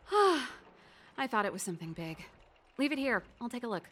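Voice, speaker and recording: An actress playing a confident, playful older sister and inventor in a video game, brisk, teasing and expressive. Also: female voice, a little high, exaggerated voice. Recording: music behind the voice.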